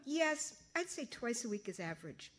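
An awkward middle-aged mother fumbling through answers she would rather not give, as a woman reads her lines. She sounds hesitant and uneasy, trying to stay calm in a storybook reading. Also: fairly high pitch, normal speed, voice pushed up. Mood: flustered.

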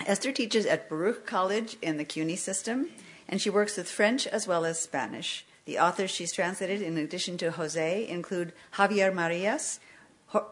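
A female event presenter reads prepared introductions to an audience. Her voice sits a little low, and her delivery is formal and measured. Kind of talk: scripted introduction read to an audience.

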